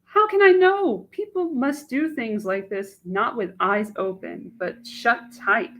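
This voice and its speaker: An anxious young woman torn between love and her settled life, as a woman reads her cold. She speaks in a breathless, searching rush of questions, often high and lifted. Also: expressive, unhurried, fairly bright tone, slight background noise. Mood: yearning. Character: young woman agonizing over a marriage proposal. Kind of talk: dramatic monologue cold reading.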